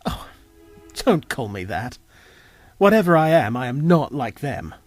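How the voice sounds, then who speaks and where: A man's voice: mid-range voice, sing-song, measured pace, no room sound. Man voicing a young alien lord, earnest, smitten, hesitant, rambling emphasis, audio drama, music underneath. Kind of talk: audio drama character dialogue. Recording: music behind the voice.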